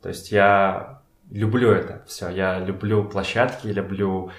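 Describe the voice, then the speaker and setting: A young man talks casually in an echoey room. He searches for his words and drops in slangy asides, like a guest in a relaxed interview. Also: sits low, unhurried. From Kazakhstan. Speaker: podcast guest, photographer and art director. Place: indoors in a home.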